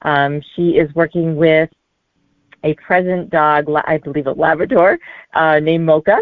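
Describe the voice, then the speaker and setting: A woman in her forties answering casually as a guest author in an interview, with a halting, hesitant delivery. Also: deep voice, fairly even intonation, no room sound. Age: 40s. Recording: narrow, tinny phone-like line.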